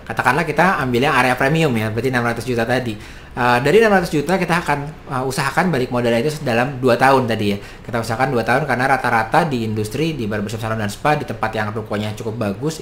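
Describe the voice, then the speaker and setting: A man in his thirties from Indonesia, a business coach explaining startup costs to camera in a small home studio, brisk and gesturing, with frequent fillers. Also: medium pitch, slight background noise.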